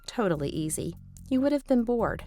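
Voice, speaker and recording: A woman's voice: fairly high pitch, very animated, moderate pace, dark tone, pitched above the performer's own voice. A woman voicing a self-possessed teenage girl thief in a novel, flippant, cool and defiant. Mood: breezy.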